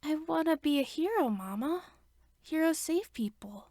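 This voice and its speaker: A woman's voice: high-pitched, lively intonation, moderate pace, darkish tone, dry recording, clean studio sound, pitched above the performer's own voice. A woman voicing a four-year-old boy who pleads earnestly and insists he can be a hero.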